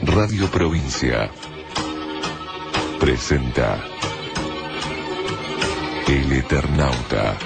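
A man's voice: extremely low pitch, lively intonation, very slow, gravelly, long pauses. A man delivering a dramatic radio programme introduction as announcer. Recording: announcement over loud music.

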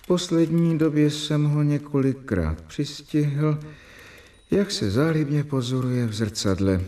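A man plays a young assistant doctor who is worn down and exasperated, and he narrates in the first person. The delivery is earnest, with mid-range pitch and lively intonation. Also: natural voice.

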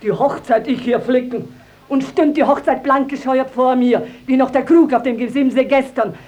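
A woman playing a middle-aged, sharp-tongued village widow, scolding and declaiming in a 1950s German radio play. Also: high-pitched, fairly even intonation, moderate pace, lightly acted. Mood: scornful.

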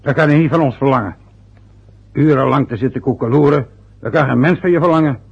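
A man's voice: medium pitch, brisk, creaky, breathy edge. An actor playing a self-important, boastful older father who grumbles and rambles in a radio drama. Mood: grumbling. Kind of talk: radio drama acting, long rambling monologues. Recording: dull, lo-fi old radio play recording.

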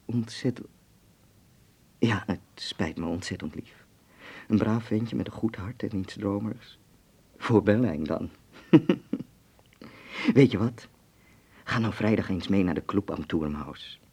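Een acteur speelt een wereldwijze, plagerige en bazige vriend in een hoorspel, met veel nadruk. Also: male voice, lowish voice, slow, pauses often, a little mellow, slightly rough, full character voice. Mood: teasing, coaxing. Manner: emphatic, teasing, commanding. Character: worldly, teasing, bossy Dutch friend in 1930s Berlin. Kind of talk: radio drama acting.

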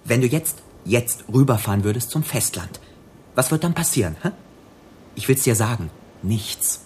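A man's voice: mid-range voice, lively intonation, moderate pace, a little mellow, slight background noise, natural voice. A man playing a menacing, volatile armed robber on the run in a radio drama, with a crude, sneering, slangy way of talking.

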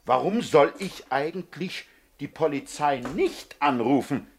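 An actor playing a self-assured, ironic gangster boss and master thief in a comic crime radio drama. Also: male voice, on the high side, expressive, a little slow, slight background noise. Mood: sardonic. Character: cocky, coolly commanding male gangster boss and master thief.

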